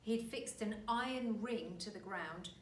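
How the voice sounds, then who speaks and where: A woman reading a children's story aloud like a teacher, expressive and deliberate. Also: mid-range voice. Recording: roomy, distant mic, faint noise.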